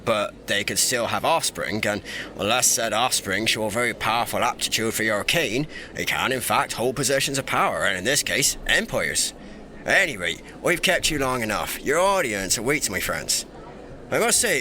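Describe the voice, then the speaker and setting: A man's voice: mid-range voice, bright, forceful tone, slight background noise. A man playing a young, mischievous and irreverent emperor in a fantasy audio drama, with a theatrical, teasing, breezy delivery. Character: young playful irreverent male emperor, secretly informal and mischievous.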